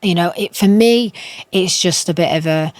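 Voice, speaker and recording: A woman's voice: on the low side, moderate pace, a little mellow, no echo. A young woman, a musician guest, chatting in an interview and telling stories with frequent restarts. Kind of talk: casual interview chat.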